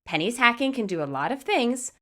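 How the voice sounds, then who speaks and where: A woman reading fan fiction aloud as narrator, in an expressive scripted storytelling style. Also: a little high, a little fast.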